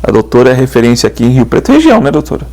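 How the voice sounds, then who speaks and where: A man hosting a news podcast, chatty and lively, closing with a sing-song sign-off. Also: mid-range voice, racing. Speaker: journalist and podcast host. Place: podcast studio.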